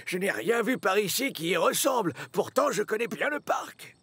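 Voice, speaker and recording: Old male engine, cheerful, very husky, drawn-out and animated. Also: high-pitched, no room sound, full character voice. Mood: amused. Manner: jovial, unhurried. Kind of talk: children's cartoon dub acting.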